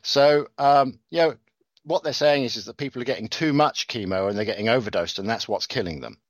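A man's voice: medium pitch, a little fast, no echo. A man hosting a casual talk show, reading news articles aloud and adding sarcastic comments, often stumbling and restarting his words. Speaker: podcast host reading news.

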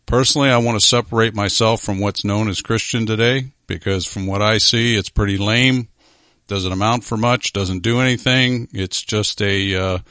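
Low-voiced pastor hosting a radio show. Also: male voice, steady tone, sharp tone, no room sound, clean studio sound. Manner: measured, with many filler pauses. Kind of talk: internet radio talk show.

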